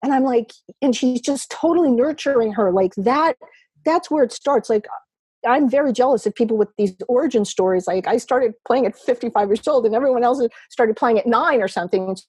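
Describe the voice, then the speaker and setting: A woman's voice: fairly high pitch, a little fast, a little mellow, husky, no room sound, low-quality recording. A woman, a guest chatting on a video call, rambling and laughing often. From New York. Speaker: podcast guest, poker writer. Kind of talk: casual remote interview chat.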